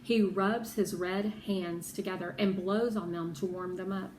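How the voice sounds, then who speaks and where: A woman reading a children's story aloud with expressive narration. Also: fairly low pitch, unhurried. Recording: roomy echo with clear background noise.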